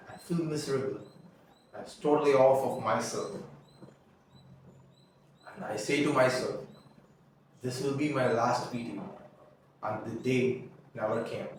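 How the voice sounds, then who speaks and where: Young man, first language tamil, halting confessional monologue, very echoey room, mic set back, faint background hiss. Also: mid-range voice, far from the mic. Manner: halting, repeats and restarts words, earnest. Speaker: vlogger.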